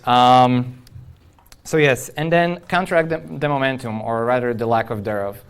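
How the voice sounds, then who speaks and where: A man speaks as an engineer presenting at a tech conference. His voice is clean and resonant at an average male pitch. He talks informally and with firm opinions, putting his points straight to the listeners. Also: steady tone, normal speed, clear, resonant tone. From Poland. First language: Polish. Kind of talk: informal technical conference talk.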